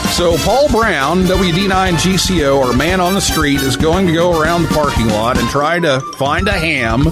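A man hosting a live radio talk show from a hotel, talking in a halting way with many fillers and restarts. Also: on the high side. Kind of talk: live call-in show banter. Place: hotel room during a convention.

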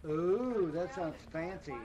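A man's voice: sits high, slow pace, warm tone, highs cut off. A man chatting casually with teammates while live streaming a game. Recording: noisy game voice chat.

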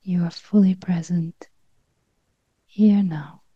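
A woman leading a guided meditation in a gentle near-whisper, with short phrases and long pauses. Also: fairly low pitch, steady tone, breathy edge, no echo, muffled recording.

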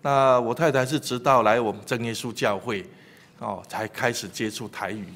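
A middle-aged man with a Taiwanese Mandarin accent preaching a sermon at a church pulpit, pausing often with filler sounds between phrases. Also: medium pitch. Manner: many fillers, animated when telling jokes. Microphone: lectern microphone.

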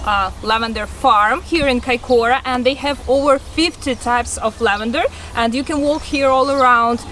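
A young woman chatting excitedly to a handheld camera as a travel vlogger, with lots of "like"s and restarts. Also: a little high, normal speed, slight background noise. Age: young adult. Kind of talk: casual travel vlog talk to camera. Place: outdoors on a farm and inside a small historic house.

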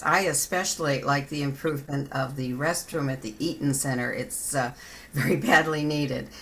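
A woman answering as a candidate at a public forum in a plain-spoken, measured manner. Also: sits low, fairly bright tone. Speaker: city council candidate.

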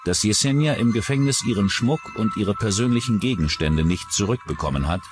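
A low-voiced man narrates a true-crime documentary from a script. His delivery moves widely in pitch, and music plays clearly behind him. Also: animated, a little fast.

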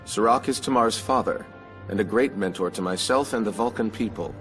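A man playing a stoic, logical Vulcan first officer in a sci-fi game, formal, precise and deadpan. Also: on the low side, brisk. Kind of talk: scripted sci-fi game acting. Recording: music loud under the voice.

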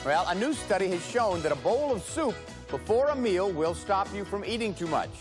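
A middle-aged man hosting a studio game show, talking in a brisk, cheerful, emphatic way. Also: high voice, sing-song. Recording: broadcast with loud music and studio audience.

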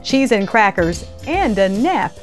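A middle-aged woman hosting storytime, reading aloud to children in an expressive, deliberate, teacherly way. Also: mid-range voice, faint music behind. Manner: animated, deliberate teacherly delivery. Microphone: lapel mic.

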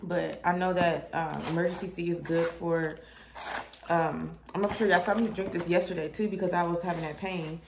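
Congested woman talking low. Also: steady tone, normal speed, vocal fry, background noise. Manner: low-key, rambling explanation. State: congested, stuffy nose. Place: apartment kitchen indoors. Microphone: phone camera held close.

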